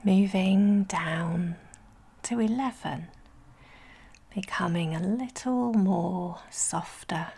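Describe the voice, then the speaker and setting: A woman leading a guided sleep meditation in a breathy, whispery soft voice, soothing and gentle, with long pauses between phrases. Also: mid-range voice. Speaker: guided relaxation instructor.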